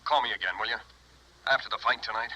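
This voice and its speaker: Anxious fast-talking American insurance executive. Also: male voice, medium pitch, pushed and bright, a little hiss or hum, low-quality recording. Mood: uneasy. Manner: urgent, insistent, worried. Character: anxious, earnest male insurance company executive. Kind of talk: 1950s radio drama acting. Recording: vintage radio drama broadcast.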